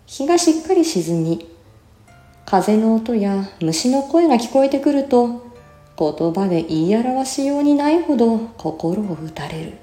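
Female literary reader, mellow timbre, careful diction and measured delivery, roomy sound with faint music underneath. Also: fairly high pitch, big pitch swings. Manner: careful, clearly enunciated, measured. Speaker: reciter and voice actor. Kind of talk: literary recitation of a classical essay.

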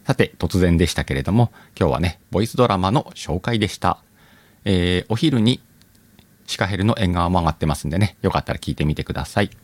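A man's voice: deep voice, expressive, fast, no room sound. A man hosting a channel, chatting informally and addressing his listeners as he makes a casual announcement. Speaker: channel host.

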